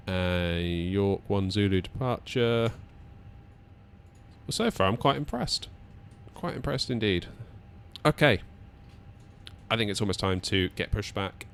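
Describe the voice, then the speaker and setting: Low-voiced man, chatty. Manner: thinking aloud, chatty. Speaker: flight simulator video host. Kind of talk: casual gameplay commentary. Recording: faint background noise.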